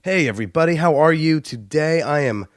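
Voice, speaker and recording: A man's voice: fairly high pitch, expressive, brisk, no room sound, clean studio sound. A young man, stuffy from a cold, hosting a casual, joking podcast intro with plenty of "uh"s. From United States. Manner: joking and chatty, with frequent filler "uh". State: stuffy nose. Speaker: podcast host.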